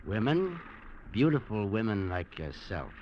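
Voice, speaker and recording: A needling, unruffled island drifter in radio drama. His lowish voice moves with lively, wry inflection. Faint hiss sits behind it. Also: unhurried, muffled recording. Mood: wry, playful. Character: sly, needling, amused male beachcomber. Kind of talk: 1940s-50s radio drama acting. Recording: vintage radio recording with hiss.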